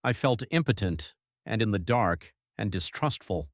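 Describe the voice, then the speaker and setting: A man acts out an earnest young husband narrating his journal for an audiobook. His voice is on the low side and his delivery is measured and literary. The recording is very dry. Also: lively intonation, no echo. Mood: reflective, resolute.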